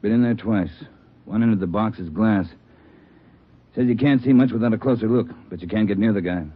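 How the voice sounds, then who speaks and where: An actor playing a grave, no-nonsense chief of detectives in a 1940s radio drama, speaking bluntly and urgently. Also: male voice, on the low side, a little fast, breathy edge. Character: grave, no-nonsense male chief of detectives briefing his men. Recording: tinny, phone-like old radio recording.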